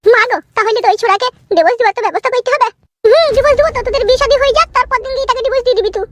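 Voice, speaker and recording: A woman's voice: very high-pitched, rapid-fire, no echo. A woman voicing a middle-aged, scheming village mother-in-law in a comedy cartoon, fawning and folksy, with a Bengali accent. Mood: pleading, conniving. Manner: fawning, folksy, repetitive endearments. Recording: music behind the voice.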